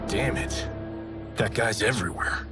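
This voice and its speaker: An actor playing a cocky young wisecracking hero in a video game, with a casual, flippant, slangy delivery. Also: male voice, lowish voice, measured pace, over loud music, natural voice. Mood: flippant, wry. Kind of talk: cinematic video game acting.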